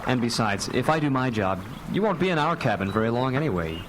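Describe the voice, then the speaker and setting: Protective lawyer dad male actor, radio drama: quick, articulate, unbending, clear background water noise. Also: mid-range voice, very animated, close to an everyday voice. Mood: warm, firm. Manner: firm, articulate, slightly formal. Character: principled, protective father and attorney, firm and dryly confident. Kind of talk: live radio drama acting. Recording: noisy live radio play, watery ambience.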